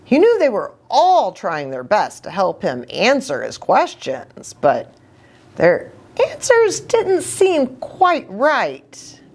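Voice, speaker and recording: Very animated, slow-paced woman storyteller. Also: medium pitch. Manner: expressive, chatty asides to children. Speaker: children's ministry storyteller. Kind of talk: read-aloud storytelling for young children.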